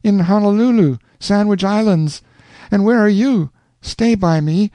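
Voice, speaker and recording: A man's voice: sits high, a little slow, warm tone, dry recording, pitched above the performer's own voice. A man reading a lovesick young woman in an audiobook, pleading and gushing in a raised voice.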